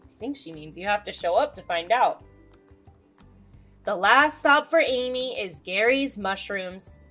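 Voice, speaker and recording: A woman in her thirties, a children's host reading a picture book aloud with expressive storytelling delivery. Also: mid-range voice, a little slow, soft background music. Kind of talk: storybook read-aloud with asides to young viewers.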